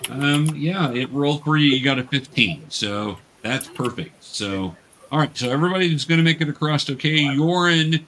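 Bright-voiced man, patient game master. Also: on the high side, lively intonation, normal speed, a little hiss or hum. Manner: hesitant, explanatory, patient with players. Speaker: game master teaching young players. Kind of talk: casual tabletop game narration and coaching.

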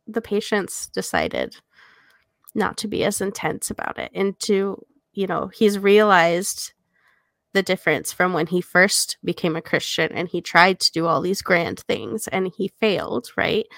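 A woman co-hosting a podcast, casually reflecting on a book and pausing between phrases as she thinks. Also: mid-range voice, creaky, fairly bright tone, no room sound, clean studio sound. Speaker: podcast co-host. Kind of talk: casual book commentary discussion.

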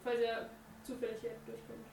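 A woman playing a tabletop game in character, talking in a halting way with many fillers. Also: a little high, completely flat delivery, creaky, a little mellow. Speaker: player. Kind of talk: in-character roleplay chat. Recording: roomy, distant mic, faint noise.

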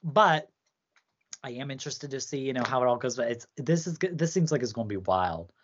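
Woman, low voice, loose rambling co-host banter, muffled lo-fi sound. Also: animated, a little fast, slightly rough. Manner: chatty, rambling, heavy use of "like". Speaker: podcast co-host. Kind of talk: casual chat about song contests.

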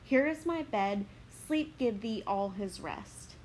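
A woman's voice: medium pitch, animated, darkish tone, slight background noise. An ardent young lover, read by a woman. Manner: earnest, measured verse delivery. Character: ardent young man, lovestruck and smooth-talking. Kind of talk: dramatic reading of verse.

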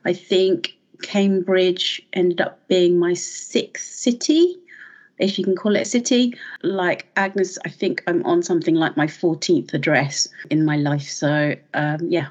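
A woman from London, introducing herself in a relaxed panel chat with wry asides. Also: fairly low pitch, a little slow, muffled recording. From London, England. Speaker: panel guest. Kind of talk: casual conversation.

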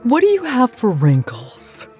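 Flirtatious customer: demanding, very swoopy high voice, campy audio drama over music. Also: female voice, huge pitch swings, unhurried, darkish tone, husky, heavily acted. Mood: flirty, demanding. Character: demanding, flirtatious cosmetics shopper.

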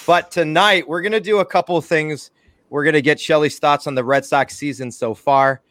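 A man's voice: on the high side, fairly even intonation, moderate pace, fairly bright tone, no room sound, studio quality. A man hosting a podcast, giving chatty intros with self-mocking jokes. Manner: jokey, self-mocking, chatty announcer patter. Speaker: podcast host. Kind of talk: casual podcast chat.

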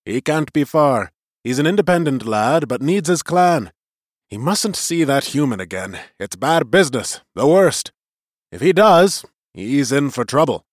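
A man's voice: on the high side, expressive, a little slow, dry recording. A grave elder of a creature clan played by a male narrator speaks with stern disapproval. The emphatic storybook voice rises and falls widely in a dry recording. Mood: stern, concerned.

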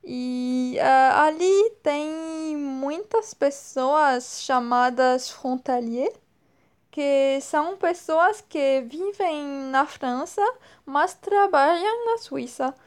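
A woman in her twenties from France with a French accent, speaking slowly and hesitantly as an interview guest, often pausing to search for words. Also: sits high. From northern France. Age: 20s. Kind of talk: slow, careful speech with many pauses and fillers.

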